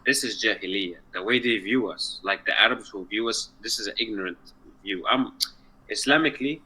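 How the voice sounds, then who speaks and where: A man's voice: fairly low pitch, a little fast, bright, forceful tone, husky, low-quality recording. A man from Egypt in a casual online chat, earnest and rambling, sometimes searching for words. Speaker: chat partner.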